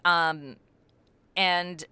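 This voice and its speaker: Bright, clear-voiced middle-aged woman. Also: fairly low pitch, very slow, no echo. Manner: animated, self-deprecating, acts out quoted remarks. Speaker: podcast creator and voice actor, interview guest. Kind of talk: casual interview chat.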